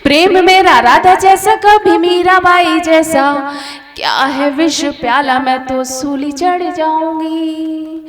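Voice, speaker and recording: A woman poet from India singing her verses at a mushaira in a slow, drawn-out melody, speaking briefly between stanzas. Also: high-pitched, reverberant, faint music behind. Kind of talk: sung poetry recital. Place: outdoor or hall stage before an audience.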